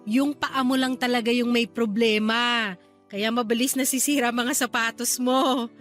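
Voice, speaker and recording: An actress plays a devoted young aunt in a radio drama. Her voice is high, affectionate and expressive, with a playful teasing streak. Soft music sits faintly underneath. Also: normal speed, natural voice. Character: caring, playful young aunt raising her small niece. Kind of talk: Tagalog radio drama acting.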